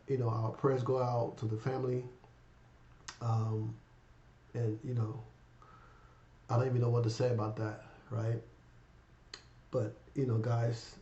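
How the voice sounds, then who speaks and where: A man in his fifties with a cold and a raspy voice, hosting a casual finance vlog and rambling to viewers with lots of fillers. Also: medium pitch, dark tone. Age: 50s. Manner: rambling, many fillers, addresses viewers as guys. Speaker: finance channel host. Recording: echoey room, dull lo-fi sound.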